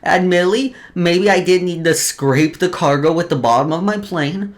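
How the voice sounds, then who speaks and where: A man's voice: high voice, normal speed. A man doing casual let's play commentary, reading game lore aloud with stumbles, informal swearing and joking asides. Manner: stumbles over read text, joking asides. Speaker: let's player.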